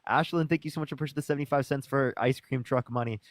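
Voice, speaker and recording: A man running through donation thank-yous at high speed with a middle pitch. He mocks a debate opponent with laughter. The sound is close and dry. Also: fast talker, no echo. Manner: rattles off thanks, mocking laughs. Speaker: streamer co-host.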